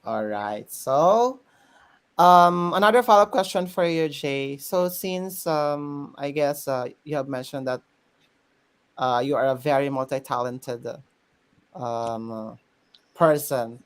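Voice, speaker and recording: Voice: mid-range voice, takes it slowly, smooth. A host, running a live chat interview, switching between English and Tagalog with many fillers.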